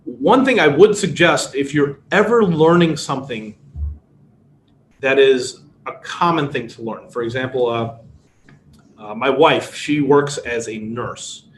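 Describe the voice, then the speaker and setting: A middle-aged man with a Chicago accent, who grew up speaking Polish, giving a relaxed webinar lecture with everyday examples and frequent fillers. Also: medium pitch, a little slow, reverberant, slight background noise. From United States. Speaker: workshop presenter. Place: indoor room. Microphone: webcam video call.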